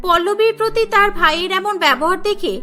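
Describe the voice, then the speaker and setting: A woman's voice: very high-pitched, a little fast, pushed and bright. A woman narrating a scripted cartoon story, with music behind her.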